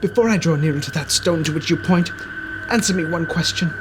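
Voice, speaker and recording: A man's voice: on the high side, a little fast, background noise, pitched above the performer's own voice, lightly acted. A man voicing a frightened, repentant old miser who pleads with passion in a dramatic storybook reading. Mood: anxious pleading. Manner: impassioned, pleading.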